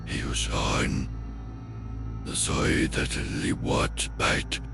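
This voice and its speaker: A man reading a horror story in a garbled, stumbling way, his voice run through a dark villain voice filter. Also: takes it slowly. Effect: deep dark villain voice filter. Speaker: amateur online horror story narrator. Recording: music behind, heavily filtered voice. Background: music.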